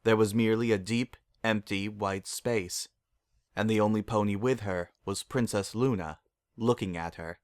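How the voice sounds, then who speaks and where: A man's voice: on the low side, steady tone, normal speed, no echo, studio quality. A man narrating a scripted story as a dramatic reading. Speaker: narrator of fan fiction.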